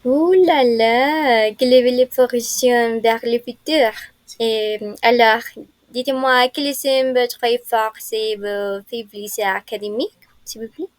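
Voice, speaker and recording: A woman puts polite, formal questions as an interviewer, speaking very slowly in a voice pitched a little high. The sound is dry and close, with no room around it. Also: dry recording. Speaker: interviewer in a mock admission interview. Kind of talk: role-played job interview.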